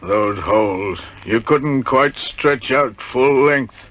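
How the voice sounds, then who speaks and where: An actor playing a haunted old ex-convict with a cracked voice, telling grim prison memories with bitter irony in a radio drama. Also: male voice, mid-range voice, slow, a bit bright. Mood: grim, weary. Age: older. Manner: grim, bitterly ironic storytelling. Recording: dull, lo-fi vintage radio recording.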